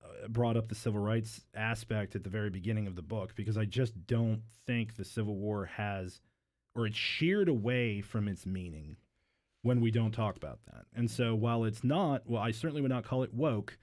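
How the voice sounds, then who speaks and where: Lower-pitched man, earnest author interview. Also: moderate pace, a little mellow. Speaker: novelist guest. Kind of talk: casual book interview chat.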